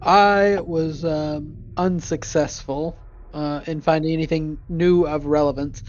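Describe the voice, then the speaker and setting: Muffled higher-pitched man, halting. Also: fairly even intonation. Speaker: player in a tabletop roleplay game. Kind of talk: improvised in-character table talk. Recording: dull muffled audio, music behind.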